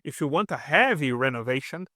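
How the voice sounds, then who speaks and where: A man's voice: mid-range voice, lively intonation, a little fast, sharp tone, no room sound, clean studio sound. A man hosting a video channel, narrating a prepared script in slightly non-native English, earnest and explanatory, talking straight to his viewers and repeating key advice for emphasis. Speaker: expat channel host.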